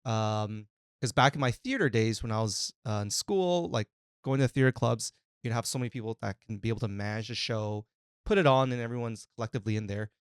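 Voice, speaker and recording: A man talking as a guest on a casual podcast, in a halting, reflective way. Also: medium pitch, expressive, clean tone, no echo, studio quality.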